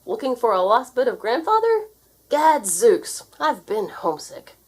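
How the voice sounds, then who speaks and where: A jaunty, hearty adventuring uncle played by a woman in a children's story. The voice is raised and swooping, full of exclamation, with a rough edge. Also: fairly high pitch, wildly swooping pitch, measured pace, voice pushed up, heavily acted.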